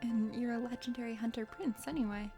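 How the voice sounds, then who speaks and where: A woman voicing a friendly, awkward young adventurer heroine, hesitant, casual and gently teasing, in an ASMR play-through of a dating game. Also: a little high, steady tone, fairly quick, music in the background, close to an everyday voice. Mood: kind, encouraging.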